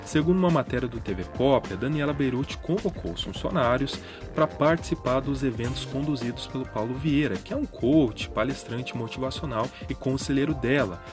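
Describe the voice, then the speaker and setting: A Brazilian man narrating news commentary in an informal, explanatory way. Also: mid-range voice. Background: background music.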